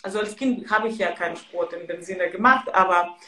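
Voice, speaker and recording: A woman's voice: lowish voice, a little fast, pushed and bright. Woman trainer in an echoey room. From Ukraine. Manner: searches for words, hesitant. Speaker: guest, Pilates and yoga trainer. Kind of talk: casual podcast conversation.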